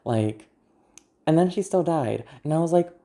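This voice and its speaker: An ASMR content creator with a naturally gravelly, growly voice, talking to viewers in a candid, self-deprecating, rambling way. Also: mid-range voice, expressive, normal speed, mellow. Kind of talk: casual personal talk to viewers.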